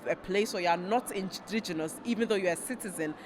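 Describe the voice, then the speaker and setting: A woman from Ghana, a researcher and panel guest, speaking in a measured, thoughtful way with frequent fillers. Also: fairly low pitch, background noise. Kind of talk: panel conversation.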